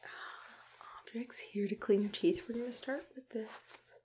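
A young woman in a soft, unhurried whisper, playing a gentle, reassuring dentist in an ASMR roleplay, close to the mic. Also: fairly high pitch. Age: young adult. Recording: clear background noise and room ambience.

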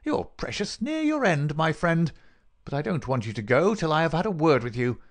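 A man's voice: fairly high pitch, very animated, normal speed, pitched above the performer's own voice. A man voicing a cruel, mocking villain in a storybook reading, gloating and taunting with pointed questions. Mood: gloating menace. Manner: taunting, insistent, rhetorical questioning.

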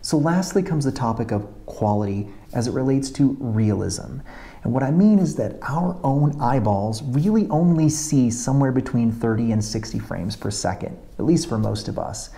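A man's voice: mid-range voice, lively intonation, normal speed, gravelly, mellow. A man in a video tutorial, explaining camera and export settings step by step in a methodical way, filming himself on a tripod camera. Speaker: motovlogger. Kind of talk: technical tutorial talk to camera.

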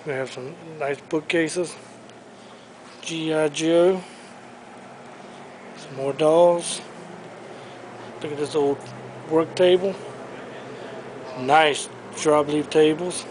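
A man's voice: fairly high pitch, fairly even intonation, very slow, pauses often, noisy background. A man giving an auction preview walk-through, naming items one by one in short clipped phrases, recorded on a handheld camera in a large showroom. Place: large indoor auction showroom full of furniture. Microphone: handheld camera microphone.

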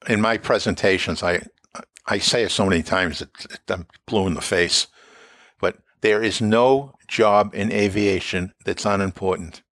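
An older man co-hosting an aviation safety podcast, explaining his points in a measured way. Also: fairly low pitch, a bit bright, no echo. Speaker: podcast co-host, former safety board member. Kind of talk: conversational podcast discussion.

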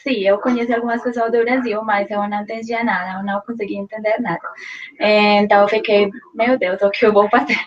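A woman's voice: mid-range voice, level tone, brisk, highs cut off. A young woman from Colombia, a native Spanish speaker with a Colombian accent, telling her story in a casual interview with many restarts. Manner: hesitant, many restarts and fillers, laughs easily. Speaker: guest, Portuguese learner. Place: small room at home.

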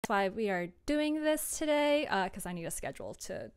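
A woman's voice: fairly high pitch, animated, normal speed, smooth. A young adult woman giving an informal, chatty livestream tutorial from a room at home, with a self-deprecating, giggly manner. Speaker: audio drama creator and livestream host. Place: small home room, indoors.

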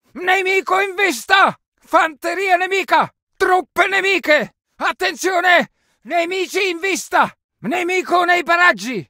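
An actor playing an aggressive infantryman in a war video game, shouting urgent, forceful battle lines in short exclamations. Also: very high voice, no echo, studio quality, full character voice. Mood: alarmed, urgent.

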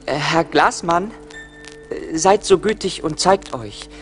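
High-voiced, eager young lad. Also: male voice, animated, slow, soft background music. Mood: pleading. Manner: theatrical, earnest, imploring. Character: naive, eager, pleading young peasant lad. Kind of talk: 1980s German radio play acting. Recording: vintage LP radio play, audible noise.

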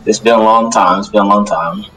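A man plays a vengeful but apologetic demon who keeps to himself. The voice is low and wary, halting and doubling back on phrases, in an improvised anime game roleplay. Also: fairly even intonation, over a noisy crowd, natural voice, rough recording. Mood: friendly. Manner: halting, repeats his phrases.

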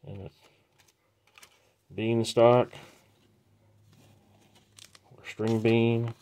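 A man hosting a trading card break, casually naming each card as he opens the packs in an unhurried, matter-of-fact way. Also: mid-range voice, level tone, a little mellow, clean tone. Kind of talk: casual pack-opening commentary.

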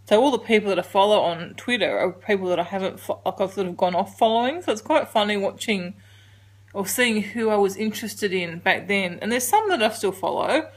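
An Australian woman in her forties chatting through a daily home vlog, rambling with soft ums and sounding a little congested. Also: mid-range voice, animated, brisk. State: congested, getting a cold. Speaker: vlogger. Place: living room couch at home. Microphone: handheld camera held close.

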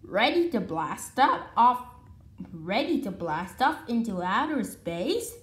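A woman's voice: mid-range voice, sing-song, takes it slowly, reverberant. A woman reading a children's picture book aloud, now and then stumbling and restarting, recorded in a room at home. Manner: stumbles over words and restarts. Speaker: read-along presenter. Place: indoor room at home with wooden floor.

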